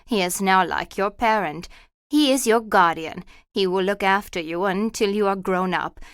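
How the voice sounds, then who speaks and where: A brisk, disapproving housekeeper woman, as a male narrator puts her on, pitched up and clipped. She talks with stern no-nonsense firmness. The sound is dry and close. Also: fairly bright tone, clean tone, no room sound. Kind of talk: storybook reading.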